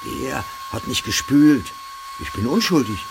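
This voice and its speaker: Awkward mailman, wildly varied pitch. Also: huge pitch swings, measured pace, noisy background, full character voice. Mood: embarrassed, defensive. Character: awkward, defensive, put-upon mailman. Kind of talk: naturalistic German radio drama acting.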